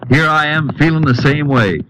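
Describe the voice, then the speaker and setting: A man's voice: on the high side, moderate pace, lightly acted. An American actor playing a wily, dogged Texas lawman on horseback in an old-time radio drama, with folksy, laconic talk. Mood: affable, sly. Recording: noisy, clicking old-time radio recording.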